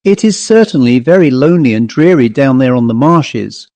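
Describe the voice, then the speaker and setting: A man's voice: medium pitch, expressive, normal speed, a little mellow, no echo, lightly acted. A man voicing a gossipy, matter-of-fact country woman in a storybook reading, conversational and genteel.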